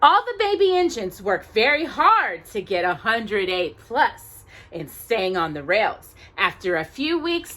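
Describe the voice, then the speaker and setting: A woman reading a children's story aloud expressively, as if to young listeners, pausing to check in with them. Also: high voice, fairly bright tone, slight background noise. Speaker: storytime reader.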